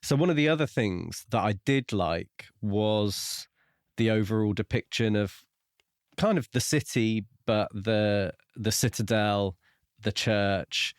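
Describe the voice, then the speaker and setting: Guy with a lowish voice on a book podcast, thinking out loud, dry close mic. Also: takes it slowly, clean tone, no room sound. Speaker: podcast host. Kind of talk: casual book review discussion.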